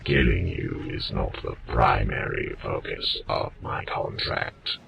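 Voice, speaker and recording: A man voicing an arrogant mercenary battle droid in a gravelly robotic growl, slow, cold and sardonic, in a comic dub. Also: extremely low pitch, highs cut off, a little hiss or hum, exaggerated voice. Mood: contemptuous. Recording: music behind the voice.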